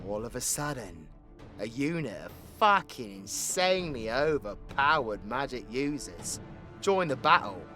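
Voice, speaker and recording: A man's voice: medium pitch, fairly bright tone. A man with a British accent playing a cocky, foul-mouthed, sarcastic supervillain who tells stories in an audio roleplay. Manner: cheeky, sweary, colloquial, theatrical swings into exclamation. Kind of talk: villain audio roleplay, rambling comedic storytelling.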